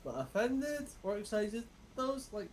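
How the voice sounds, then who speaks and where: A man's voice: high voice, very animated, measured pace, a little hiss or hum. A man with a Northwest English accent chatting on voice chat during a game, teasing and swearing in mock confusion. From Northwest England. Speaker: teammate. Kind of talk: casual gaming chat.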